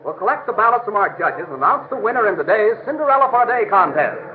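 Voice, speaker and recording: High, rapid radio contest announcer. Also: male voice, sing-song. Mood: showy. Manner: projected, showy. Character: brisk, excited male radio contest show announcer. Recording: tinny, very noisy old radio recording.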